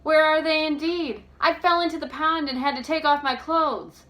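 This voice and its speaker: A woman's voice: sits high, fairly even intonation, moderate pace, fairly bright tone, pitched above the performer's own voice. A woman voicing an impatient, brusque young woman in a storybook, speaking in an emphatic, commanding way. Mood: impatient, indignant.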